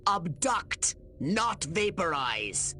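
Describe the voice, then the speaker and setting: Pompous alien commander, bright and swooping. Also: male voice, sits high, very animated, very slow, faint music behind, full character voice. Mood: bossy, impatient. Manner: pompous, exclamatory, precise diction. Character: scheming, arrogant male alien commander, pompous schemer. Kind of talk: video game cutscene acting.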